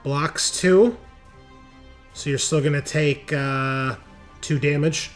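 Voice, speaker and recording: A man's voice: on the high side, takes it slowly, soft background music. A man acting as game master, narrating the action of a tabletop role-playing game in a casual, conversational, storytelling way.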